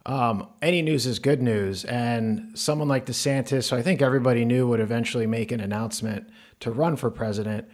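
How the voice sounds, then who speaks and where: A man's voice: medium pitch, fairly quick, a little mellow. A man hosting a podcast, opening the show and chatting with his guest in an upbeat, conversational way. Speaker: podcast host.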